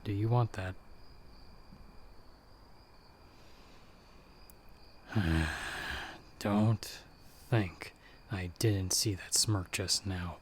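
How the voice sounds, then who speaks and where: A man playing a stern, sardonic monster hunter who guides the listener in hushed, urgent tones in an ASMR audio roleplay. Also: deep voice, a little hiss or hum. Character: gruff, sardonic male monster hunter, a disembodied guiding voice.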